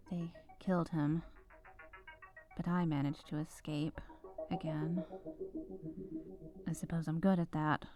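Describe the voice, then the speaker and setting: Woman playing a soft, low-voiced remorseful witch. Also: lightly acted. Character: remorseful battle-scarred renegade cyborg witch, ex-soldier. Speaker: ASMR voice performer. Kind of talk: scripted roleplay ASMR monologue. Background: background music.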